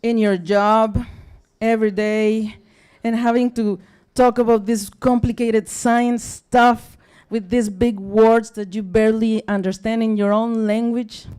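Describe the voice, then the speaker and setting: A young woman from Mexico talks to a live audience in accented English, Spanish being her first tongue. Her voice is mellow and warm, and she sounds tired. She rambles, apologizes often and fills gaps with ums. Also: on the high side, flat delivery, a little slow, clean tone. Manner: chatty and apologetic, with many fillers and restarts. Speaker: guest speaker at a science talk night. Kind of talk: casual, self-deprecating live talk. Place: bar or event room with a live audience.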